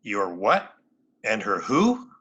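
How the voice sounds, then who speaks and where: A man playing a gruff, exasperated New York police lieutenant in an old-time radio drama, wry and incredulous. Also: on the low side, big pitch swings, takes it slowly, pushed and bright, dull audio, exaggerated voice.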